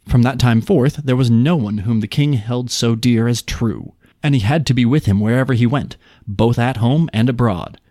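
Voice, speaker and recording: A man's voice: mid-range voice, normal speed, dark tone, dry recording. Man reading a folk tale, dry sound. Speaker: podcast host.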